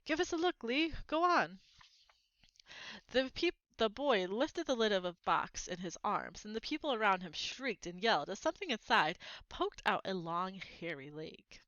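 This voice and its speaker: A woman narrates a children's book aloud with expression. Her voice is bright and forward at a middling pitch. It is recorded close and dry, with slightly dulled sound. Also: lively intonation, normal speed, few pauses, no room sound, muffled recording.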